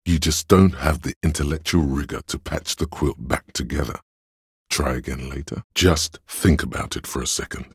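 A cold, reasoning inner voice from a detective video game. It is extremely deep and heavily husky, recorded close and dry. Each deduction comes out measured, cerebral and faintly condescending. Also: male voice, no room sound, heavily acted. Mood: scornful. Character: cold analytical inner voice of logic in a detective's mind. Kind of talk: video game narration in second person.

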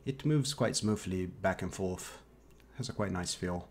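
A man in his thirties giving a casual tech product review with hesitant, halting phrasing. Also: low voice, slight background noise. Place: small home office, indoors.

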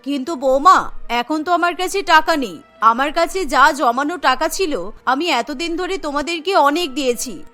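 A woman voicing an older mother-in-law who is kind, trusting and motherly, with a soft, gentle delivery. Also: sits high, normal speed, soft background music.

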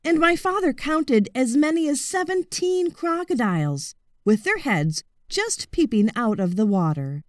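A woman narrating a children's audiobook in an expressive, measured storytelling manner. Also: high voice, no room sound, studio quality.

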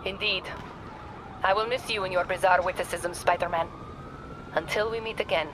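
A woman playing a stern mercenary leader in a video game, speaking formally and solemnly, with quiet regret. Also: fairly low pitch, steady tone, normal speed, background noise, natural voice. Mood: solemn, regretful. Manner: formal, clipped, solemn phrasing.

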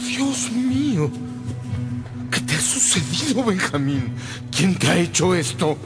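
Casting: caring bishop, radio drama, slow speech with wide swoops, hall reverb. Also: male voice, high voice, sing-song, music in the background, exaggerated voice. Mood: distressed concern. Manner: solemn, concerned.

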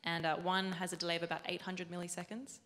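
A woman's voice: on the low side, level tone, fairly bright tone. Young woman presenting in an echoing hall. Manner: clear explanatory, quick self-corrections. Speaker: robotics engineer giving a talk. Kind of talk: conference presentation. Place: large event hall with audience. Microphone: handheld mic. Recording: long hall reverb.

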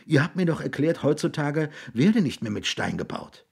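Storybook role, performed by a male narrator: tormented man at breaking point, raised husky voice, theatrical, frantic. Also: on the high side, sing-song, normal speed, darkish tone, pitched above the performer's own voice, exaggerated voice. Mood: hysterical, mocking. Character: desperate, hysterical, tormented man near breakdown.

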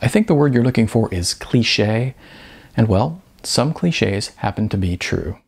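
A man voicing a polite, composed waitress in an audiobook, speaking calmly with a wry touch. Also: fairly low pitch, moderate pace, gravelly, husky, exaggerated voice. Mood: calm, restrained. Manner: calm, polite.

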